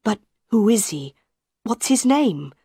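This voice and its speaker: An earnest young man who speaks firmly and insistently, his tone protective and set, as a woman narrator puts him on. The delivery is slow and the sound is dry and close. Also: medium pitch, big pitch swings, mellow, slightly rough, dry recording, heavily acted. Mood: urgent. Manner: firm, insistent.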